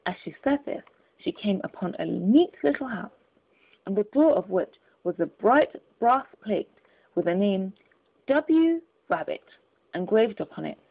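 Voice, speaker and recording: Storybook reader, a woman, lively intonation, tinny phone-like audio. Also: mid-range voice, measured pace, vocal fry. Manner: expressive, careful reading. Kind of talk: storybook narration.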